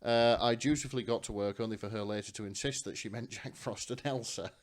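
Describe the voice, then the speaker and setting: Low-pitched man, wry podcast chat. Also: fairly even intonation, fast talker. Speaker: podcast co-host. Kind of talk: casual chat among friends.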